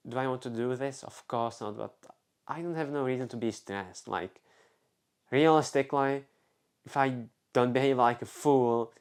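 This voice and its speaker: A man's voice: medium pitch, moderate pace, clean tone. A young man from the Czech Republic with a Czech accent, telling a casual personal story on a vlog, rambling, restarting and filling with "like". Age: young adult. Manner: rambling, self-interrupting, many fillers. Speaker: vlogger.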